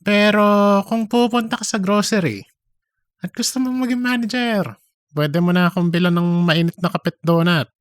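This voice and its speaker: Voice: medium pitch, normal speed, dry recording, natural voice. A performer acting out chatty office colleagues in a comedic audio drama, with an exaggerated, teasing conversational manner. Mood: boastful.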